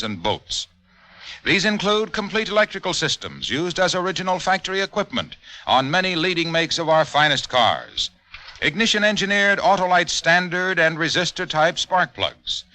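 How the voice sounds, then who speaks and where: A man's voice: on the high side, sharp tone. A man reading a 1940s radio commercial as announcer, with a polished sales delivery. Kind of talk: 1940s radio advertising read.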